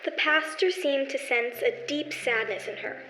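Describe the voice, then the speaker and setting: A woman tells a story as a radio play narrator. Her voice is high and bright, recorded in a roomy space with faint music. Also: unhurried, a little hiss or hum. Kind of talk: scripted storytelling in old-time radio manner.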